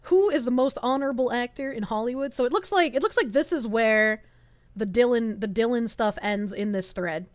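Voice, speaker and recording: A woman's voice: on the high side, normal speed, dry recording. A woman streamer chatting casually and sarcastically while reacting to clips. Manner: sarcastic and animated.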